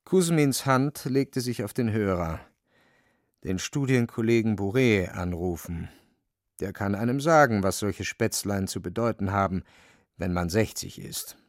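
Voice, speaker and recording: Ein Mann erzählt als Erzähler eines literarischen Hörspiels in dramatischem Ton. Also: male voice, fairly low pitch, expressive, a little slow, no echo, clean studio sound. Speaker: narrator. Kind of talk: dramatic literary radio play narration.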